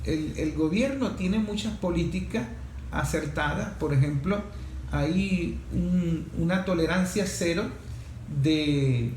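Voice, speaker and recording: A Venezuelan man with a fairly high voice presents political commentary as a talk show host. He builds his points phrase by phrase, repeating for emphasis. The room echoes, with faint noise behind him. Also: slow pace, a little mellow. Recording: roomy echo, faint background noise.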